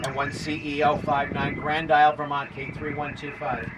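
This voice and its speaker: A man's voice: on the high side, completely flat delivery, moderate pace, very noisy. An older man working as an amateur radio operator, calling out in clipped, procedural phonetic alphabet from inside a parked vehicle.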